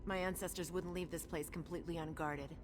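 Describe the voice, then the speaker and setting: A woman's voice: on the low side, steady tone, fairly quick, close to an everyday voice. A woman voicing a determined, impatient orc chieftain's daughter in a video game, speaking tersely and thinking aloud. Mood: curious, puzzled. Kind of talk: video game character dialogue. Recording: long reverb, loud game music behind.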